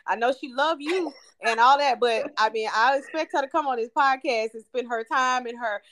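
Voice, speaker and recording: A woman's voice: sits high, moderate pace, a bit bright. A woman hosting a faith podcast, talking in an effusive, emotional, churchy way. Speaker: podcast host. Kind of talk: casual podcast chat.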